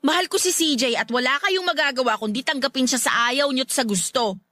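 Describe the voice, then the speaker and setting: A high, dry young voice: a grown daughter in a radio drama. She is respectful but stubborn, pleading earnestly and defensively. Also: a little fast, pushed and bright, dry recording. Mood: pleading, defiant. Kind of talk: Filipino radio drama acting.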